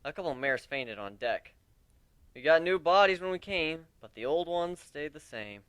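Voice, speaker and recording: Expressive high-voiced male story narrator. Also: normal speed, smooth, dry recording. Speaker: narrator of a dramatic reading. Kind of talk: first-person scripted story narration with character voices.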